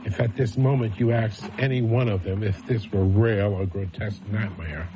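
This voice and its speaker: A man narrating a radio drama as a grave storyteller, deliberate and ominous. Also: fairly low pitch, fairly even intonation, lightly acted. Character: grave male storyteller setting an eerie scene. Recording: muffled, very noisy old radio recording.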